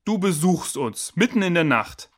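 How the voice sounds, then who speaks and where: A man's voice: on the high side, big pitch swings, normal speed. A man playing a stern, sarcastic guardian in a children's audio drama, dry and challenging.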